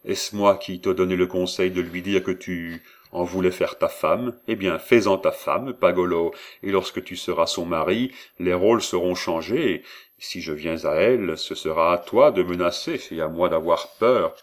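A man voicing a domineering master goldsmith in an audiobook, commanding and measured in his threats. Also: deep voice, close to an everyday voice. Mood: menacing.

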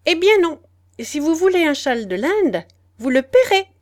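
A woman putting on a shrill, stubborn old woman. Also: very animated, normal speed, a little mellow. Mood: scornful. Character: stubborn, haggling old woman refusing a costly gift.

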